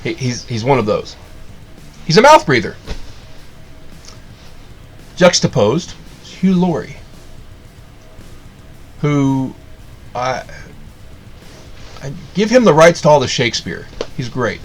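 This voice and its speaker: A man's voice: medium pitch, big pitch swings, slow pace, long pauses, soft background music. Sarcastic man ranting in a casual review. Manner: sarcastic, rambling, full of fillers and restarts. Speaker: video reviewer.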